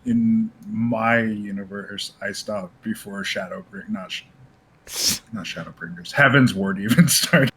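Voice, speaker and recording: Dude at a tabletop game, lowish voice that swings around, some noise. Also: male voice, very animated, unhurried, fairly bright tone, husky, low-quality recording. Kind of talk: casual chat. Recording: background noise.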